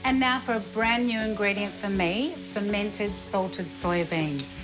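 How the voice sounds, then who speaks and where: A woman's voice: mid-range voice, unhurried. A woman hosting a cooking show, presenting in an enthusiastic, informal and chatty way. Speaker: host, cook. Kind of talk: upbeat cooking show presenting and casual chat. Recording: background music under the voice.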